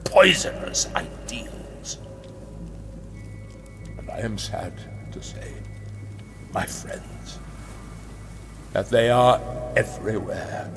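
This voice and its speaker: Grandiose rabbit ruler, slow oratory. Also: male voice, on the low side, sing-song, creaky, heavily acted. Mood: menacing, impassioned. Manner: slow, emphatic, dramatic pauses. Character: grandiose, menacing rabbit ruler addressing his citizens. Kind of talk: theatrical public oration. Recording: long hall reverb, music underneath.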